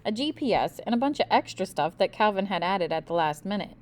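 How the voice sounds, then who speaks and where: A woman reading a children's book aloud expressively, with deliberate, dramatic emphasis. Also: mid-range voice, expressive. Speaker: storybook reader.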